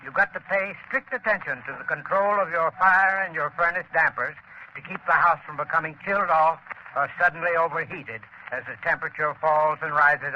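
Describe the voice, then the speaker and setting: A man's voice: sits high, bright, forceful tone. Friendly 1930s radio ad spokesman. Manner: friendly, advisory. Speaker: sponsor spokesman. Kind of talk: scripted radio advertisement. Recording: dull old radio recording with hiss.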